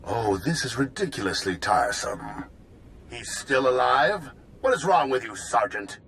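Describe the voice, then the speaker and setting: An actor playing a smug, impatient mercenary boss speaking scornfully in a video game cutscene. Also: male voice, medium pitch, very animated, unhurried, fairly bright tone, slight background noise.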